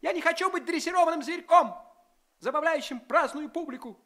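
Tiny, dignified, eloquent hero for a radio play, very high-pitched. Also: male voice, fairly quick, sharp tone, full character voice. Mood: indignant, proud. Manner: courtly, eloquent, earnest. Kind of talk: children's radio play acting.

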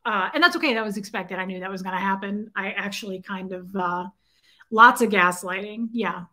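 A woman's voice: medium pitch, brisk, sharp tone. A woman in her forties with a slightly scratchy, strained throat, chatting casually on a home livestream, distracted and greeting viewers by name. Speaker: livestream host. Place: home living room. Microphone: webcam at desk.

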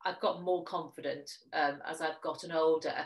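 A woman's voice: deep voice, fairly even intonation, normal speed. A woman in her forties with a Welsh accent, a magazine editor speaking openly in a video-call interview over a headset. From Wales. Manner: open, candid, talks in long runs. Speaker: magazine editor-in-chief, guest. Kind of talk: casual interview chat.

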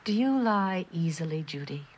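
An actress playing a reserved, wistful, probing mother of a missing daughter in a vintage suspense drama, speaking in a measured, formal way. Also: lowish voice, lively intonation, a little mellow, lo-fi sound, a little hiss or hum, close to an everyday voice. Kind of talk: 1960s television suspense drama acting.

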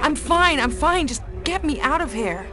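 High-pitched anxious stranded woman. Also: expressive, loud music behind the voice. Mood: shaky relief. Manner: urgent, halting. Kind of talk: dramatic game voice acting.

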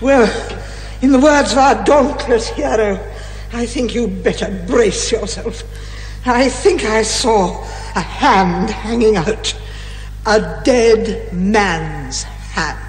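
An actress playing a brisk, commanding older British lady detective in a theatrical radio detective comedy. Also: a little high, faint music behind, rough recording. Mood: dry, assured. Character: bossy, eager older lady amateur detective, a retired major.

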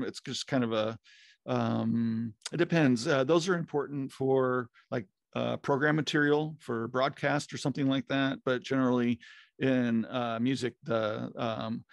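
Gravelly man rambling through studio talk. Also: mid-range voice, dry recording. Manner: rambling, many fillers and restarts. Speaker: podcast co-host. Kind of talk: casual technical chat. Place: home music studio. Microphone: studio mic with headphones, video call.

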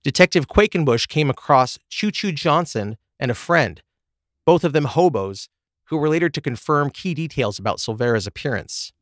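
A man's voice: a little high, expressive, moderate pace, fairly bright tone, no room sound, studio quality. A man hosting a true crime podcast, reading a scripted narration. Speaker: podcast host.

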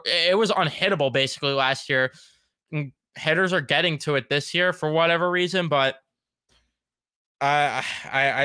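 Young man venting in a bright voice. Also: a little high, clear, resonant tone, no echo. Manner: frustrated, blunt and rambling. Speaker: sports podcast host. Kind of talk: solo postgame baseball recap. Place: home room, gaming chair.

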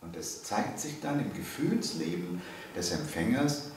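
A man in his early seventies giving a public lecture, speaking emphatically and deliberately, pausing for weight and putting questions to his listeners. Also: low voice, sing-song. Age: early 70s. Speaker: lecturer, theology professor. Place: indoor seminar room. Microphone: microphone on a stand. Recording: very echoey room, distant, faint noise.

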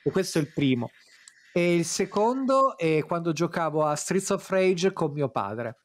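A man with a slightly high voice takes his turn in a group stream. He tells things casually, building each story in halting little pieces. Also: animated. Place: indoor room, group video call.

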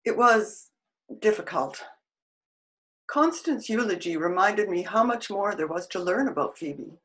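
An older actress playing a loving, torn married woman in a period radio drama, heartfelt and halting. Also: medium pitch, animated, fairly bright tone. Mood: subdued. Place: room at home, video call.

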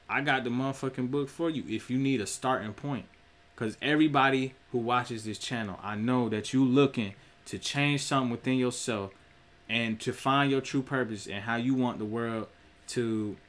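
A man's voice: medium pitch, fairly bright tone. A young man, streaming from a small room, preaching about spirituality in a direct, profane way. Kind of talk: casual spiritual monologue.

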